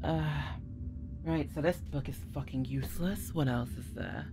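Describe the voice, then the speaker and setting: Woman playing a flirty teasing gf, low-ish voice, slow and drawn out, bit of hiss behind. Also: vocal fry. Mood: frustrated. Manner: teasing, flirtatious, sighing, swearing. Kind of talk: audio roleplay.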